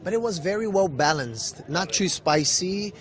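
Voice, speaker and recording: A man from Brazil, a tourist, speaking in a warm, effusive way. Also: high voice, animated, normal speed.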